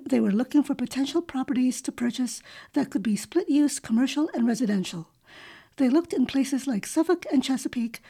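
Husky, mellow woman host. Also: high voice. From Philippines. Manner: reads intro smoothly, then chats with soft backchannels. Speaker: podcast host. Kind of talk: interview with scripted intro.

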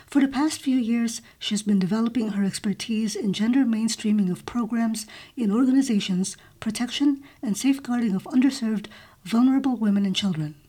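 A woman's voice: fairly high pitch, fairly quick, mellow. A woman hosting a podcast, reading a guest introduction and then asking questions. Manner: reads the intro smoothly, then asks questions with ums. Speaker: podcast host.

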